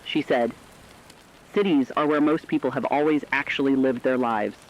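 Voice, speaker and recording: Lo-fi radio, man acting as compassionate solemn broadcaster, mid-range voice, deliberate earnest monologue. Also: medium pitch, steady tone, natural voice. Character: solemn, compassionate male broadcaster chronicling a collapsing world.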